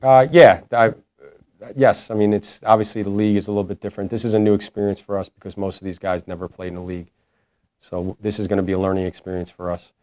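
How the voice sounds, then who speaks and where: A man in his forties, a head basketball coach, answering postgame questions in a halting way with many restarts. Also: on the low side, level tone, warm tone, dry recording. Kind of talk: postgame press conference answers. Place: indoor press room before a logo backdrop. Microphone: lapel mic.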